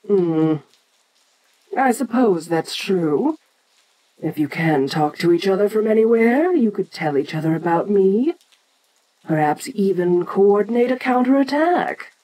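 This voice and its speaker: A woman playing an ancient, imperious kaiju giantess in an audio roleplay, speaking formally and with deliberate, musing condescension. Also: mid-range voice, animated, mellow, poor audio. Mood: pondering. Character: imperious ancient female kaiju giantess, haughty, curious, domineering. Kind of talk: audio roleplay addressed to the listener.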